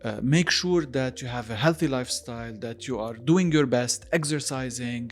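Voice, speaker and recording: A man's voice: mid-range voice, a little fast. Man explaining over faint music. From Lebanon. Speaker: interview guest, plastic surgeon. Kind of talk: conversational podcast interview.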